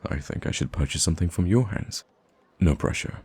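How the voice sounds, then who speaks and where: A man playing a soft-spoken, wistful and gracious young prince in an ASMR roleplay, speaking gently and haltingly. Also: lively intonation, full character voice. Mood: friendly, charming. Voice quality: very deep, gravelly, husky. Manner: hesitant, gentle, halting with sighs and stammers.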